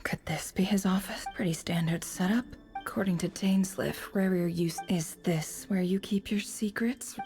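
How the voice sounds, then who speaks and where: A woman playing a sly, composed intelligence broker in a video game, measured, dry and self-assured. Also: on the low side, darkish tone, soft background music, lightly acted. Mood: curious. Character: sly, composed adult female intelligence broker, coolly in control. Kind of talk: video game character acting.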